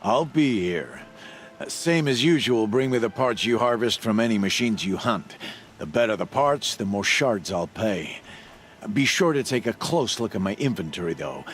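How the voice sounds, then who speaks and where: A man's voice: lowish voice, lively intonation, normal speed, slight background noise, lightly acted. A man playing a cranky, wry village trader in a video game, with a sardonic, grumbling, offhand manner. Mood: businesslike. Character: cranky, wry male village trader dealing illicitly with outcasts. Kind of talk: video game cutscene acting.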